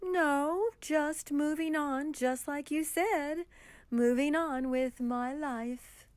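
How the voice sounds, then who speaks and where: A woman's voice: high voice, slow, dry recording. An actress playing a once-drab woman turned vengeful witch, speaking in a cold, taunting manner in a radio drama.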